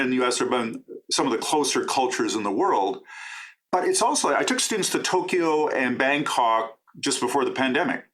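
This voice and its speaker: A middle-aged man from Canada, a professor and author, answering interview questions in a talkative, enthusiastic way with quick asides. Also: mid-range voice, fairly bright tone.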